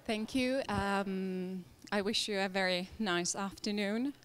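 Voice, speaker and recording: A woman's voice: medium pitch, steady tone, a bit bright, smooth. A woman with a Czech accent, a native Czech speaker, giving a polite formal welcome in English as a European Commission representative. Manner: polite, measured, with fillers.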